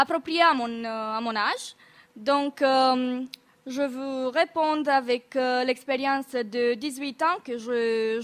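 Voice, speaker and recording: An eighteen-year-old woman from Romania speaking French with a Romanian accent, careful and hesitant, with many fillers. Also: fairly high pitch. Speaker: conference panelist. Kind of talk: public talk in a second language.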